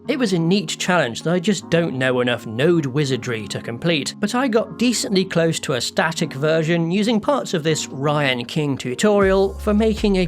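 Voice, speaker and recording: Male hobbyist narrator, fairly high and very animated in pitch, conversational scripted read with wry asides. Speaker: hobbyist 3D artist narrating a making-of video. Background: music.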